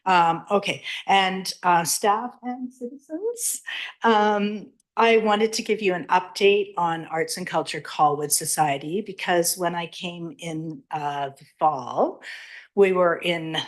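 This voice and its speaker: A woman who presides over a community society, giving a chatty, halting update to council with a big, loud voice. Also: lowish voice. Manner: chatty, halting, with many fillers. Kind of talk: presentation to council. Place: council chamber.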